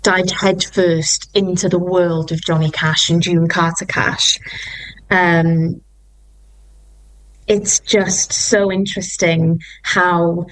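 A woman's voice: on the low side, level tone, takes it slowly, poor audio. A young woman, a musical theatre singer, talking enthusiastically in an interview and often restarting her sentences. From Wales. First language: Welsh.

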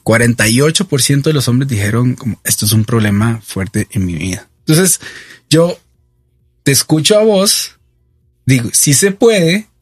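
Guy hosting an interview, medium pitch, very dry audio, conversational. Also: animated, moderate pace, slightly rough, no echo. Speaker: interviewer, host.

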